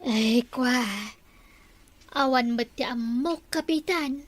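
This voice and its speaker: A woman's voice: sits high, slow pace, no echo. A woman with an Ilocano accent playing a deferential village councillor in a comedy drama.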